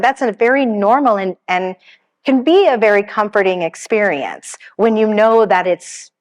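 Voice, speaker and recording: Gentle woman counseling the grieving. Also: medium pitch. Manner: gentle, reassuring, shares personal stories; says she has a pretty strong voice. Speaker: grief counselor giving a seminar. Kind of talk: conversational presentation to an audience. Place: funeral home seminar room.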